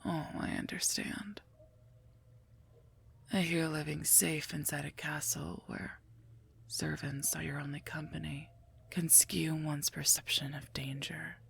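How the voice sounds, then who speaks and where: A woman voicing a sardonic female werewolf knight and mercenary bodyguard in an ASMR roleplay, husky and gravelly, dry and curt. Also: low-pitched, little pitch movement, measured pace, slight background noise, heavily acted. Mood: dry, menacing. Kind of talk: scripted ASMR roleplay, addressed to listener.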